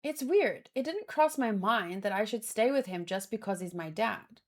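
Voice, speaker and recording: A woman reading dialogue aloud in an informal, expressive way, mixed with casual commentary of her own. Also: mid-range voice, moderate pace. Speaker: manga read-along host.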